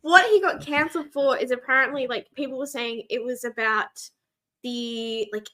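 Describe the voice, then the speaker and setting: A woman's voice: a little high, steady tone, moderate pace, noisy background. A woman. She co-hosts a casual chat, rambling through her thoughts in an informal, conversational way. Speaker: podcast co-host. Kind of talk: casual chat about books.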